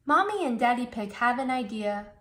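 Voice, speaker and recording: A woman reading a children's storybook aloud, slowly, clearly and expressively. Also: on the high side, expressive. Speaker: storybook reader.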